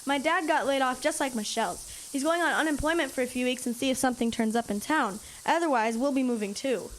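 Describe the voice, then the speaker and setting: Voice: high voice, a little fast, a bit bright, slight background noise. A young performer playing a brash, sarcastic kid who talks bluntly and in slang in an audio drama. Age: child. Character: brash, sarcastic wisecracking kid, eager storyteller. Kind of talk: youth audio drama acting.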